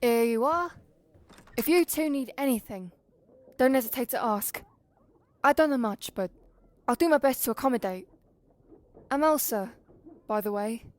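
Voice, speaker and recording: A woman voicing a young-looking high elf innkeeper in a fantasy audio drama, with a weary, formal, curt manner. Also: lively intonation, moderate pace, long pauses, darkish tone, natural voice. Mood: accommodating.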